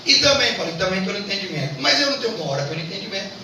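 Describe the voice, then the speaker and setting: A man's voice: high-pitched, sing-song, racing, pushed and bright. A Brazilian man preaching a fervent Pentecostal sermon, repeating phrases and exhorting the congregation with rhetorical questions. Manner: repetitive, exhorting, often addressing listeners with rhetorical questions. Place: church service indoors. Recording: very echoey church, distant mic, clear noise.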